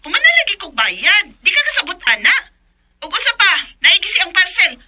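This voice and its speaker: A woman's voice: very high-pitched, big pitch swings, brisk, background noise. An actress playing an angry young woman customer who scolds and threatens a delivery rider over a phone call. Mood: furious.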